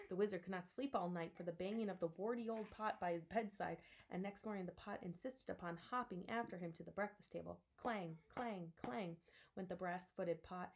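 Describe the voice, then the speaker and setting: Woman, medium pitch, expressive storybook narration, dramatic delivery. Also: a little hiss or hum. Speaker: teacher reading aloud. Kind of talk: expressive storybook read-aloud.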